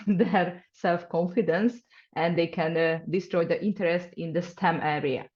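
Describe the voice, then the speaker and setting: A woman with a Hungarian accent talks earnestly over a video call, now and then pausing to find the right English word. Her voice sits fairly low, and the call audio is dull and lo-fi. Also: darkish tone. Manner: earnest, searching for words in English. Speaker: guest scientist, associate professor. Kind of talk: casual interview answers.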